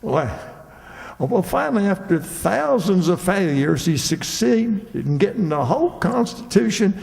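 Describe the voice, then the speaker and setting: High, creaky, dithery townsman played by an actor. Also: big pitch swings, unhurried, a little mellow, full character voice. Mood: earnest, deadpan. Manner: hesitant, stammering, earnest. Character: dithery, literal-minded, absent-minded townsman. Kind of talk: old-time radio comedy acting. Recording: echoey hall with long reverb.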